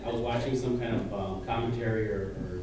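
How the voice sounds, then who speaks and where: A man's voice: mid-range voice, steady tone, fairly quick. A man asking a casual question from the audience in a hesitant, informal way. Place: convention hall, far from camera microphone. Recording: very noisy, echoey hall, far off.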